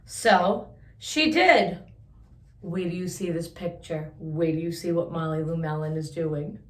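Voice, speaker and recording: A woman reading a picture book aloud to young listeners, with expressive drawn-out emphasis and chatty asides. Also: fairly low pitch, reverberant, slight background noise. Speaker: children's story-time host reading a picture book aloud.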